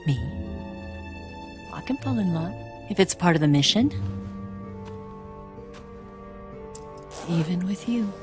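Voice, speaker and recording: A woman's voice: fairly low pitch, lively intonation, moderate pace, natural voice. A woman with an American accent voicing a confident, flirtatious female spy in a video game, self-assured, teasing and probing. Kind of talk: video game cutscene voice acting. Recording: music behind the voice.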